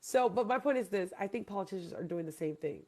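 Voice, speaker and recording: Gravelly woman host, rambling and emphatic. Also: mid-range voice, animated, fast, darkish tone. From Hawaii. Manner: rambling, emphatic, asks listeners questions. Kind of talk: casual debate chat.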